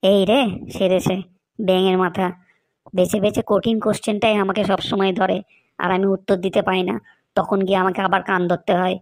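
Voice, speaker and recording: Woman acting a cartoon schoolboy, grumpy, whiny, evasive, medium pitch, dry sound. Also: flat delivery, brisk, a little mellow, dry recording, natural voice. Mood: grumbling. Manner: grumbling, whiny. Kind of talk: comedy cartoon dubbing.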